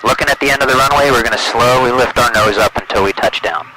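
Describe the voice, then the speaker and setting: A man's voice: medium pitch, fast talker. A man working as a flight instructor, giving encouraging step-by-step directions over a headset in a small plane. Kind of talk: calm step-by-step flying instruction with radio calls. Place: small airplane cockpit in flight. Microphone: aviation headset. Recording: loud aircraft cabin noise, intercom audio.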